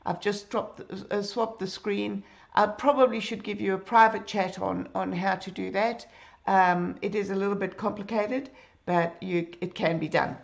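A middle-aged woman with a hoarse, croaky voice, teaching a live drawing lesson from her home room on a webcam mic. She chats with her viewers, greets them by name and fills her pauses with ums. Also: medium pitch, fairly even intonation. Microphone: computer webcam.

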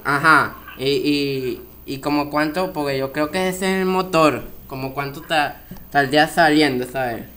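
A man's voice: fairly high pitch, measured pace, sharp tone, slight background noise. A man with a Venezuelan accent making a prank phone call in casual talk, hesitant and repeating his words. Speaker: prank caller.

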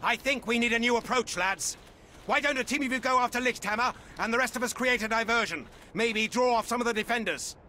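An actor playing a brisk, slangy British army corporal of the Second World War in a video game cutscene, combative in manner. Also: male voice, extremely high pitch, bright, forceful tone, slight background noise, full character voice. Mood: planning. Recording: clear music over the voice.

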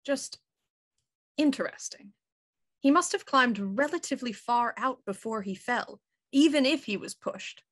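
Woman as shrewd, high-voiced police inspector. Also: animated, unhurried, fairly bright tone. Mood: probing. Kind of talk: radio play acting over video call.

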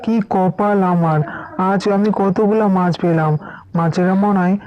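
A man's voice: sits high, fairly even intonation, a little slow, smooth, no room sound. A man playing a hapless fishing-mad prince and family father in a fairy-tale cartoon, exclaiming and muttering to himself. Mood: pleased. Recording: muffled audio with music behind.

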